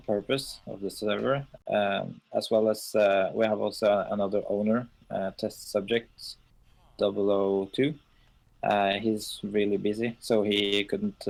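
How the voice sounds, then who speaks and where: A man whose first language is Norwegian, co-owner of a game server, talking haltingly on a casual live stream with lots of fillers. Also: fairly low pitch, a little mellow, poor audio. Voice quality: gravelly.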